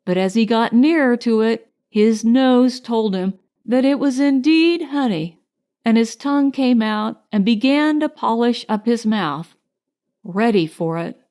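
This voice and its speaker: A woman narrating a children's storybook in a measured, expressive storytelling manner. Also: on the high side. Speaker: audiobook narrator.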